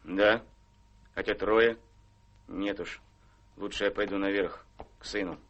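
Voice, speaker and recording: An actor playing a worried, weary gentleman gambler husband in a radio play, glum and dryly ironic. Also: lowish voice, fairly even intonation, measured pace, lo-fi sound. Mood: resigned, ironic. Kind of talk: theatrical radio play acting.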